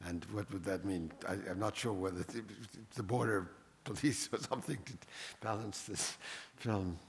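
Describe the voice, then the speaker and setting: A man with a fairly low voice chairs a live panel without a script. He speaks through a hall with long, washy reverb. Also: moderate pace. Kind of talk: unscripted panel moderation. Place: large panel hall, public address microphone.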